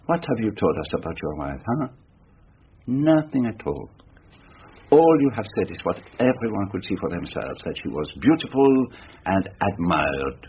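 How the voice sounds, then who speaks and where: An actor playing a shrewd, fussy gentleman detective with a French-speaking European accent, phrasing things precisely and theatrically in a radio drama. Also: lowish voice, big pitch swings, normal speed, breathy edge, exaggerated voice. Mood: probing. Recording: dull radio recording with faint noise.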